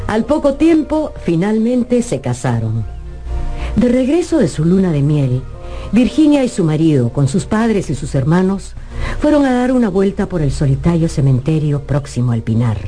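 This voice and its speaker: A man narrating a classic story for a radio drama in an expressive storytelling manner. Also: high voice, normal speed, soft background music.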